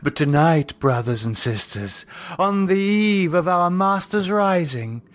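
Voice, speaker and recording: A man's voice: sits high, lively intonation, measured pace, pitched above the performer's own voice. A man voicing a commanding female vampire cult leader in an audiobook, declaiming in a ceremonial, exultant manner. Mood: ritual fervour.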